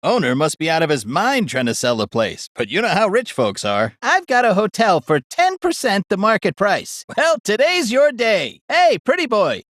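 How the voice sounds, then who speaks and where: An actor playing a slick, pushy real estate hustler in a video game, with cajoling sales patter. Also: male voice, sits high, wildly swooping pitch, moderate pace, hardly any pauses, fairly bright tone, no echo, studio quality, full character voice. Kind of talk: scripted video game dialogue.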